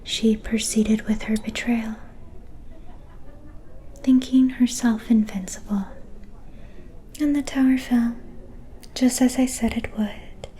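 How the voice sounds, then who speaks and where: A woman playing a mysterious, soft-spoken vampire seer in an ASMR fantasy roleplay, whispering in a breathy, ominous and cryptic way. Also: on the high side, steady tone, a little hiss or hum. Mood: knowing, quietly scornful. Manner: slow, deliberate, cryptic.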